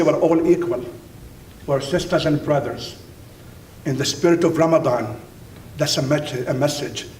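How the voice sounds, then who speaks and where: A man with an Arabic accent giving an inspirational religious speech as an imam, emphatic and deliberate, with repetition and pauses. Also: darkish tone. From Middle East, Palestinian Jordanian. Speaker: imam and university teacher speaking at a dinner. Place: large dinner banquet room. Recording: roomy, distant mic, faint rumbling noise.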